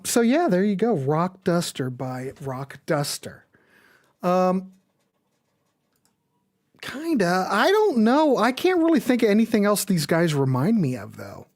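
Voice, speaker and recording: A woman's voice: fairly low pitch, very animated, unhurried, long pauses, a little mellow. A woman hosting a music show with casual, off-the-cuff chat, folksy and chatty, often thinking aloud.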